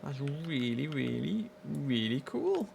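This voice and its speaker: A man's voice: on the high side, sing-song, slow pace, gravelly, darkish tone, a little hiss or hum. A young man giving chatty, enthusiastic casual commentary as he streams a game live from indoors. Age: young adult. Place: indoors at a computer.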